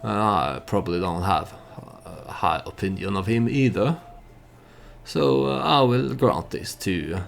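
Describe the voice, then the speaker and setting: A man hosting a let's play, giving storytelling game commentary in a halting way, as if thinking aloud. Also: on the low side, vocal fry.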